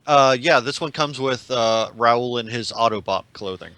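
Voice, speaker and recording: A man's voice: medium pitch, normal speed, bright, forceful tone, no echo. A man chatting casually as a member of a podcast panel. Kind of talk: casual chat about collectible toys.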